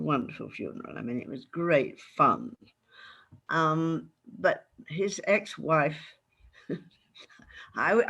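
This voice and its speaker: A woman's voice: lowish voice, a bit bright, husky. An older woman chatting frankly as an interview guest over a video call. She laughs often. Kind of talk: casual interview chat.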